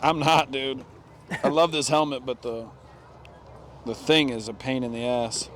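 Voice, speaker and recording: A man's voice: mid-range voice, steady tone, a little slow, a bit bright, slight background noise. A man chatting casually and informally as he talks through hands-on gear installation, outdoors among friends. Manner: informal, slangy, says dude. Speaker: motorcycle vlogger.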